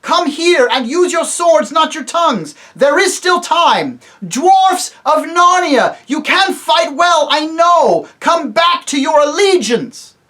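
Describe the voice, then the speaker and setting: A storyteller voicing a bold king who gives loud, rallying battle orders, pitched far above the reader's own voice. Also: lively intonation, slow, few pauses, exaggerated voice. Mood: pleading.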